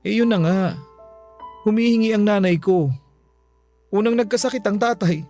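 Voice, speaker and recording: A man's voice: high-pitched, moderate pace, warm tone, soft background music. A man playing an evasive, pleading boyfriend in a Filipino radio drama, stammering and defensive. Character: evasive, pleading live-in boyfriend caught stealing.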